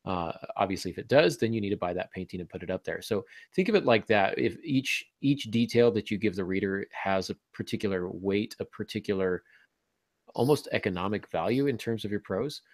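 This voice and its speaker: A man's voice: fairly low pitch, expressive, fairly quick, no echo. A man hosting a casual panel discussion, explaining his points with examples and a dry, teasing humor. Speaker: podcast host and fiction writing teacher.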